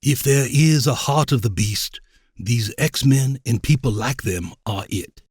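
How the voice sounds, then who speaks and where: An actor playing a pious, coolly menacing evangelical reverend in an audio drama, with a measured, preacherly delivery. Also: male voice, medium pitch, darkish tone, husky, no echo, studio quality. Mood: coldly menacing. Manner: preacherly, measured, self-assured.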